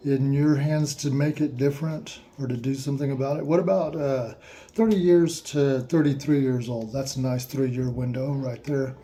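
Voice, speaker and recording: Man with a dark, mellow voice. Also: medium pitch. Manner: slow, repetitive questions with mock-surprised asides. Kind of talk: reflective guided questioning.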